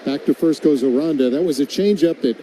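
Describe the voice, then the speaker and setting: A man does live radio baseball play-by-play in a very dark, relaxed voice pitched a little high. He calls the game as commentator, with clear ballpark noise behind him. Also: fairly quick.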